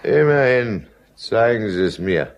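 Low-voiced, bitter drinker. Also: male voice, animated, slight background noise. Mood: brisk, commanding. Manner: literary, deliberate narrating; peevish and commanding in dialogue. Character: bitter, runaway alcoholic middle-class husband telling his downfall. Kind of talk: German radio drama narration and acting.

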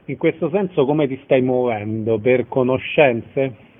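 Radio host guy asking questions, mid-pitched voice, sounds thin like a phone line. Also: a little slow. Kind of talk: radio interview.

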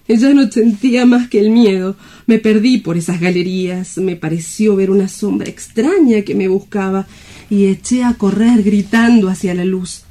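A woman playing a lonely, despairing young woman in an audio drama, gentle, fearful and earnestly wistful. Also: medium pitch. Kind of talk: theatrical audio drama acting.

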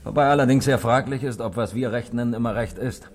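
A man's voice: medium pitch, quick pace, natural voice. A man acting an urbane, evasive bank clerk and café regular in a 1960s radio play, nonchalant and wry.

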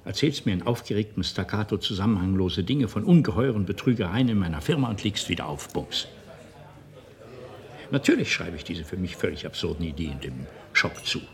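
A domineering middle-aged patriarch in a radio drama. His voice is low and swoops widely as he lectures with patronizing, self-righteous rhetoric, pressing and manipulating his listener. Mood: condescending, controlled. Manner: rhetorical, patronizing, swinging from reasoned to indignant. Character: domineering company boss father, self-righteous, manipulative, pleading. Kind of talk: German radio drama acting.

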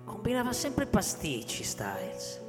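An actor playing an old, folksy school caretaker in a radio drama, insistent and suspicious, repeating himself. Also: male voice, high voice, huge pitch swings, measured pace, exaggerated voice. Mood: reminiscing, pitying. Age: older. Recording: hall reverb, loud music underneath. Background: loud music.

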